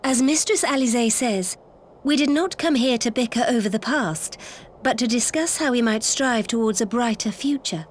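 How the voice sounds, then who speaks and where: An actress playing a gracious young diplomat in a video game, speaking formal, composed, conciliatory lines. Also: female voice, on the high side, moderate pace, slight background noise, lightly acted. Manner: courteous, composed.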